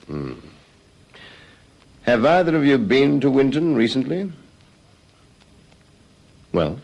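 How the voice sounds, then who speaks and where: An actor playing a methodical, probing police detective, formal and measured, in an old-fashioned radio drama. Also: male voice, mid-range voice, big pitch swings, vocal fry, a little mellow, exaggerated voice, low-quality recording.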